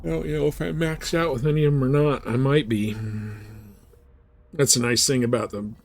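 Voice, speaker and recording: A man talks casually through his gameplay as a live streamer, easygoing and rambling. His pitch sits in the middle of the male range, and there is faint noise and game ambience behind him. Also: steady tone, normal speed, creaky. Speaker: live streamer playing a space trading game.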